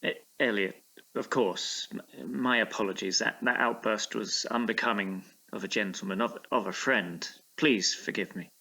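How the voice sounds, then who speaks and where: An actor playing a sceptical, proper gentleman friend with a stammering, polite, incredulous manner in a period audio drama. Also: fairly low pitch, normal speed, slightly rough, exaggerated voice, poor audio. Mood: contrite.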